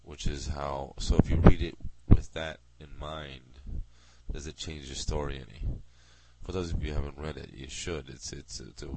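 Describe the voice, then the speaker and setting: A man's voice: rumbling low, steady tone, normal speed, vocal fry. A man hosting a rambling solo internet radio show, talking into a close mic, sounding drowsy, nasal and congested, wandering from thought to thought and cutting himself off. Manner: drowsy, meandering, self-interrupting. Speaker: podcast host. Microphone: close mic, very near the lips. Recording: loud background hum.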